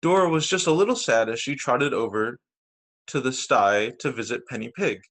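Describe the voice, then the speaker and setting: A man's voice: mid-range voice, normal speed, fairly bright tone. A man reading picture books aloud to children as a teacher, narrating over a video call. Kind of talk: storytime narration read aloud.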